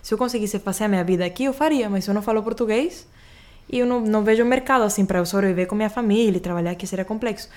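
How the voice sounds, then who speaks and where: A woman's voice: mid-range voice, racing, darkish tone. A Venezuelan woman, a native Spanish speaker, talking about her life in a radio interview with a strong Spanish accent, often restarting her sentences. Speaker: singer-songwriter guest. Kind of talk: radio interview, casual chat.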